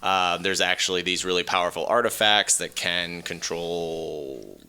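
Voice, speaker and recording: Bright, clear-voiced man in casual discussion. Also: low voice, fairly even intonation, unhurried. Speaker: podcast host. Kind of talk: casual film and video game discussion.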